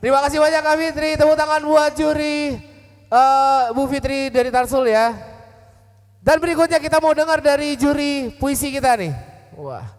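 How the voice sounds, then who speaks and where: A man emceeing a live outdoor event, chatty and joking in relaxed slang on a handheld mic. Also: extremely high pitch, moderate pace, in a large hall. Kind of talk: casual live-event hosting. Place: outdoor stage at an office yard event. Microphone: handheld mic through PA.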